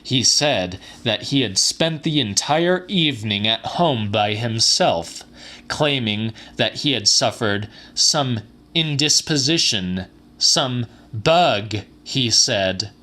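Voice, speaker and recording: A man giving a deliberate, theatrical dramatic reading of literary weird fiction as an audiobook narrator. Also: mid-range voice, lively intonation, pushed and bright.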